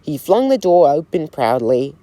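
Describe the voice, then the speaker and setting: Guy reading a train story real slow, soft mellow voice. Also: no room sound. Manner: slow, gentle storytelling with lively pitch. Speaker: narrator. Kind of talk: scripted storybook narration.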